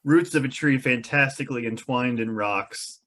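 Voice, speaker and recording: A man's voice: medium pitch, normal speed, a bit bright. A man reading scripted narration as the narrator of stage directions.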